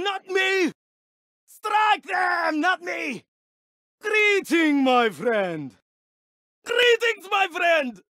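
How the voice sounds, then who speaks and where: Medieval eastern warrior, video game battle barks: very high strained shouts, slow emphatic delivery, dramatic man of war. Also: no room sound, clean studio sound, exaggerated voice. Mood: hearty.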